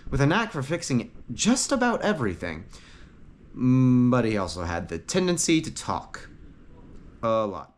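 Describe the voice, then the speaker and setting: A man reads a fantasy tale as an audiobook narrator. His medium-pitched voice rises and falls widely, and he sets off short phrases with dramatic pauses for emphasis. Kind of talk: scripted fantasy story narration.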